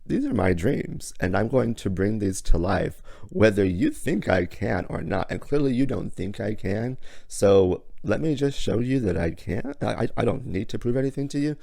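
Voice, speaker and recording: A hoarse, raspy man hosts a motivational talk with sassy, theatrical flair, calling the listener honey and darling. His voice sits low and gravelly. The sound is close and dry, with no room around it. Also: animated, normal speed, mellow, no room sound. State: hoarse from illness. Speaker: podcast host. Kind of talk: motivational monologue.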